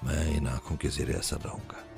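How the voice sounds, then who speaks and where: आदमी द्वारा निभाया गया सम्मोहित, खोया हुआ नौजवान. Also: male voice, very deep voice, moderate pace, vocal fry, faint music behind, full character voice. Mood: dazed. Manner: flat, distant, repeating orders. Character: hypnotized young man, dazed and obedient.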